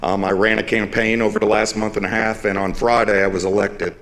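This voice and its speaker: A man who is a firefighter and union leader, speaking to a council in an earnest, proud way and pausing often on fillers. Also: lowish voice, level tone, fairly bright tone. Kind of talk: short address to council.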